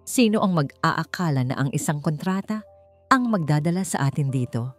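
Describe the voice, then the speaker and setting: A woman's voice: sits low, lively intonation, moderate pace. An earnest, tender husband in love , voiced by a woman storyteller, speaks seriously in a romance audio story. Faint music runs beneath the lines. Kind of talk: dramatic romance storytelling dialogue. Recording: music under the voice.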